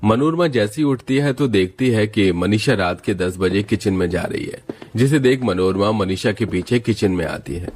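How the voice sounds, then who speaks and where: A man's voice: lowish voice, normal speed, darkish tone. A man narrating a scripted family moral story.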